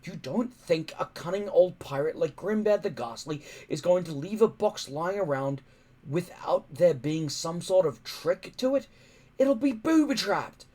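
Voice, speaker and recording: A man's voice: high voice, expressive, a little slow, mellow, pitched above the performer's own voice. Male reader as a young Viking boy, worried, cautious, pleading, swooping intonation, storybook character. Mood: urgent pleading.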